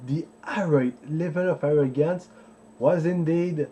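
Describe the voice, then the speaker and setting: A man, an English speaker, hosting an informal history explainer in a halting, digressive way as he searches for words. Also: on the high side, warm tone, a little hiss or hum. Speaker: host of a history video series. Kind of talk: informal explainer with live translation from a book.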